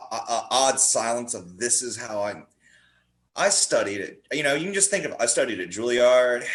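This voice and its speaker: A man's voice: medium pitch, a bit bright. A man talking casually as a podcast guest, rambling and jokey in the way he tells things. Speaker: guest, horror playwright. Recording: roomy, echoey sound.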